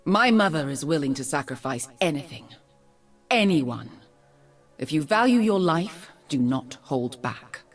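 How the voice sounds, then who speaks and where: An actress playing a poised, regal noblewoman in a video game, speaking formally in cool, measured phrases. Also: deep voice, animated, far from the mic, soft background music. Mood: stern, cutting. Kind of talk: video game character voice lines.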